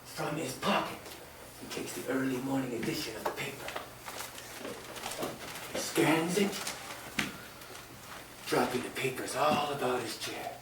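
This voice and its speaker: An actor playing a sinister hermit storyteller, narrating a radio horror tale in a melodramatic, menacing, drawn-out way. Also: male voice, mid-range voice, natural voice. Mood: hushed suspense. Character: the Hermit, sinister cackling storyteller of murder tales. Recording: distant, echoey, noisy old radio recording.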